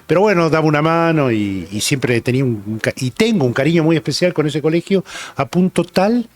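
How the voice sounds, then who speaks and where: A man in his sixties from Argentina with a Rioplatense accent, telling stories as a guest in a relaxed interview, with many filler sounds. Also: fairly high pitch, brisk. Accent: Rioplatense Spanish. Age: 60s. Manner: rambling, reminiscing, many "eh" fillers. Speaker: rugby journalist guest. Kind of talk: relaxed anecdotal interview chat.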